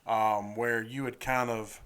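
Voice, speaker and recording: He is a host with a middle-pitched voice who keeps the talk loose and friendly. He teases his guest a little and asks his questions casually. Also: flat delivery, slow, a crowd in the background. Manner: easygoing, teasing. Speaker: podcast host. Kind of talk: casual chat.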